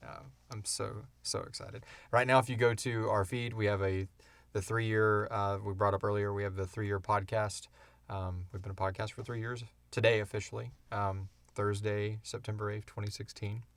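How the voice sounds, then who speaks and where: Male podcast co-host, low-pitched, close dry sound, informal and halting explainer in a casual Q&A. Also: little pitch movement, creaky, no room sound. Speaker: podcast co-host and show creator. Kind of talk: casual Q&A chat.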